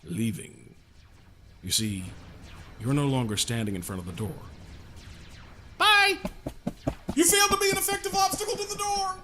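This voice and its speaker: An actor in an audio drama playing a glib, teasing gentleman genius, sardonic and mock-polite in his wordy delivery. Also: extremely high pitch, fairly even intonation, normal speed, pauses often, background noise. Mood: taunting.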